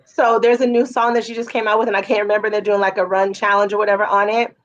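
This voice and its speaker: A woman with a raspy voice giving casual, effusive feedback as a judge at a music showcase. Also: mid-range voice, little pitch movement, fast, no echo, highs cut off. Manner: effusive, chatty, full of fillers.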